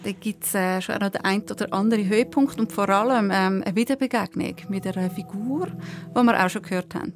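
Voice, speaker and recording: A woman's voice: mid-range voice, fairly quick, over music. A woman with a Swiss German accent, co-hosting a podcast and casually retelling a listener's story. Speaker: podcast co-host.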